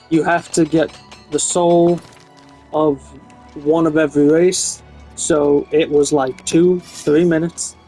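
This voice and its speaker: A man's voice: on the high side, dark tone. A man giving a casual, unscripted video game review in a frank, opinionated way. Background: music behind the voice.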